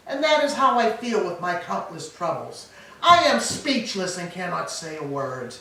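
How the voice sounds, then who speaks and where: A woman's voice: fairly low pitch, fairly bright tone. A woman performs an old queen of fallen Troy, a stoic, grieving mother in a Greek tragedy. The delivery is formal and declamatory, heavy with lament. It comes from a very reverberant hall, picked up at a distance with faint noise behind. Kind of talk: staged reading of Greek tragedy. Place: church, staged reading.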